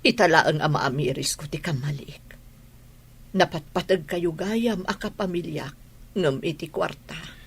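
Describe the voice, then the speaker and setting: A woman's voice: deep voice, unhurried, vocal fry, husky, full character voice. A woman with an Ilocano Filipino accent playing a worried, regretful mother in a radio drama, weary and plaintive. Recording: background noise behind the voice.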